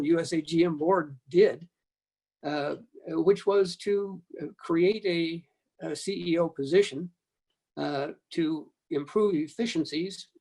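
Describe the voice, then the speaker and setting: An older American man giving reflective panel remarks in short, measured, halting phrases. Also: fairly high pitch, a little mellow. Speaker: panelist, former broadcasting board member.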